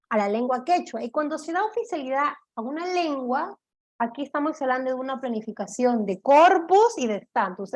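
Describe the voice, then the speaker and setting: A woman giving an online law lecture, explaining step by step, often checking in with her listeners and pausing on "eh". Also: high-pitched. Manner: explanatory, checks in with "¿de acuerdo?", frequent "eh" fillers.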